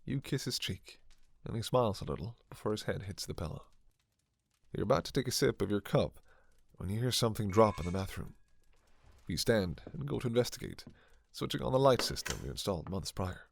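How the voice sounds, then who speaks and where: A man's voice: fairly low pitch, lively intonation, a little fast, gravelly. A man narrating a scripted story in the second person. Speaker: narrator and performer.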